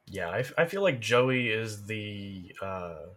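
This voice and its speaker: A man in casual podcast conversation about game lore, thinking aloud in halting phrases. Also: lowish voice, sing-song, a bit bright. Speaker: podcast speaker.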